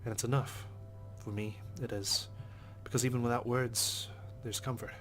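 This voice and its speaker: A man's voice: mid-range voice, fairly even intonation, normal speed, fairly bright tone. A man reading a visual novel's narration aloud as a let's play host. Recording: game music behind the voice. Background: game music.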